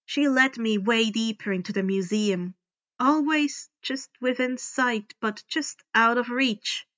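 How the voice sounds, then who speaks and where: A woman reading first-person fiction aloud in a deliberate, dramatic storytelling style. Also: a little high, fairly bright tone, dull audio. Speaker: fan fiction audiobook reader. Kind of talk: expressive first-person scripted narration.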